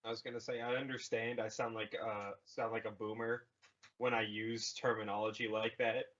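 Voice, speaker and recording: A man's voice: fairly low pitch, level tone, moderate pace, fairly bright tone, lo-fi sound. A young man co-hosting a podcast, telling rambling stories about himself with self-mocking humor. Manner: rambling, self-deprecating storytelling. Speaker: podcast co-host. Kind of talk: casual chat.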